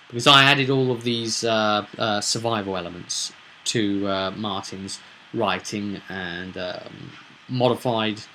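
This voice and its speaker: A British man talking casually as a game master explaining his session, halting at times and stressing key words. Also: lowish voice, pushed and bright, poor audio. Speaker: tabletop game master, vlog host. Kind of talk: casual explanatory vlog about a roleplaying session. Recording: noticeable background noise, whirring fan.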